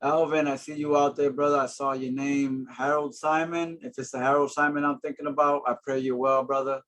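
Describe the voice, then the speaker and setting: A man's voice: mid-range voice, level tone, brisk, a bit bright. A man in his forties from Boston gives an earnest, friendly webinar presentation over a video call, with plenty of filler words. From Boston, Massachusetts. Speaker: housing panelist.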